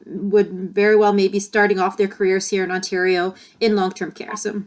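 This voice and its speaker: A woman's voice: medium pitch, level tone, moderate pace. Woman hosting a podcast interview. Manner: friendly, uses many fillers. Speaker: podcast host.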